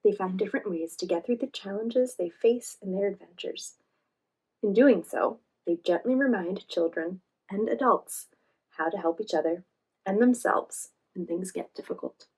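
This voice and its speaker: A woman hosting a children's read-aloud, reading expressively and pausing to ask encouraging questions. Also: mid-range voice, darkish tone, slightly rough, poor audio. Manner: encouraging, asks questions and pauses for answers. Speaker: read-aloud storytime host, teacher.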